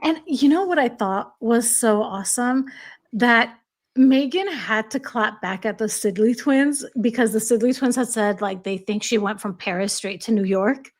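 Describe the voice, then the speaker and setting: An American woman hosts a relaxed commentary chat. She tells stories in quick bursts, and her voice sits slightly high. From United States. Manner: chatty, quick storytelling with frequent laughs. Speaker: host.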